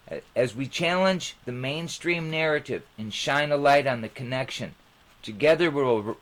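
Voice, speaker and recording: A man hosting his own channel, talking informally to viewers in a rambling, halting way. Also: mid-range voice, low-quality recording. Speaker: channel host.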